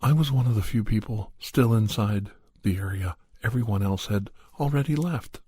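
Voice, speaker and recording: A man narrating a first-person horror story in an ASMR style, hushed and deliberate, often pausing mid-phrase. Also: on the low side, slightly rough, no room sound. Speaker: podcast host and storyteller.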